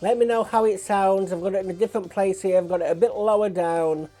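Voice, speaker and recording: A woman's voice: mid-range voice, fairly even intonation, fast, mellow, faint music behind. A woman hosting a reaction video with a blocked nose, chatting casually and apologetically to viewers. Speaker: reaction channel host.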